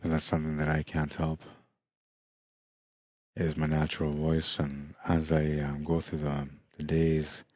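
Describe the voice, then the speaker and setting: A middle-aged man commentating a pool match in a hushed, unhurried voice with a very deep bass, tired late in the day. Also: completely flat delivery, no echo, studio quality. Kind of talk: quiet live sports commentary. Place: pool hall during a tournament.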